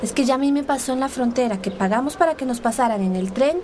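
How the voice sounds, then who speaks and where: An actress playing an anxious, hesitant migrant in a radio drama. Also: female voice, sits high, fast, close to an everyday voice. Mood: anxious, doubtful. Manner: hesitant, worried. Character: anxious female migrant paying a smuggler. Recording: noisy outdoor ambience.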